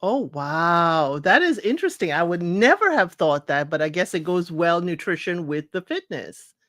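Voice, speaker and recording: A man's voice: high voice, animated, normal speed, pushed and bright, dry recording, clean studio sound. A man hosting an interview, asking questions eagerly and reacting with short interjections. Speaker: podcast host and interviewer.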